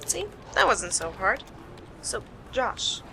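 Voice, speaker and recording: A woman playing a sarcastic, bossy detective in an amateur radio play, with a wry, brisk delivery. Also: fairly high pitch, big pitch swings, creaky, heavily acted. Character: sharp, sarcastic female detective leading a murder case. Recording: noisy amateur home recording.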